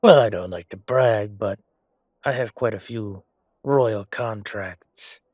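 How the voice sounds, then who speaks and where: Man playing a low-voiced, chatty fantasy merchant. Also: takes it slowly, a little mellow, no room sound, clean studio sound. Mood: self-satisfied. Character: male merchant, chatty, self-satisfied, grumbling royal supplier. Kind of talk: fantasy audio roleplay acting.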